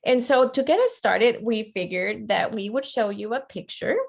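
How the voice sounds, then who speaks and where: A woman's voice: a little high, lively intonation, normal speed, gravelly. Woman presenting a webinar. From Costa Rica. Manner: conversational, checks in often with "right?". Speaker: webinar presenter, teacher educator. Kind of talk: interactive online lecture.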